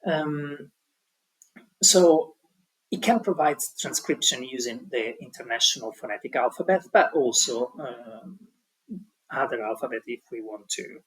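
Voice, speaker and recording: Man, husky, mid pitch, tutorial, hesitant with fillers. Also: expressive, a little slow, fairly bright tone, poor audio. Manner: hesitant, many ums and restarts. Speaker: language teaching tutor. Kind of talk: explaining an online tutorial.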